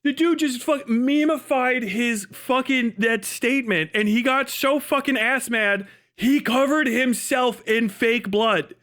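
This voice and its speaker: A man's voice: very high voice, unhurried, few pauses, a bit bright. A man ranting on a casual livestream, mocking and incredulous, swearing heavily as he reacts to a video.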